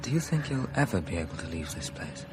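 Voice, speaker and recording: An actor playing a worldly, pragmatic young painter in a radio drama, sardonic and persuasive with a trace of envy. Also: male voice, deep voice, sing-song, quick pace, music in the background. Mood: wry, envious. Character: worldly, pragmatic young male painter friend, wry and envious.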